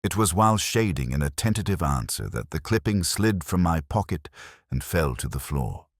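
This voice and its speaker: A man narrating a literary detective story as a brooding, world-weary inspector, in a measured, reflective and formal manner. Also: deep voice, big pitch swings, dry recording, clean studio sound. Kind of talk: literary first-person scripted narration.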